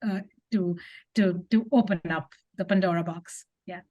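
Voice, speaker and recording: A woman's voice: on the low side, normal speed, dark tone, highs cut off. A woman co-hosting a podcast in a conversational, appreciative way. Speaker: podcast co-host.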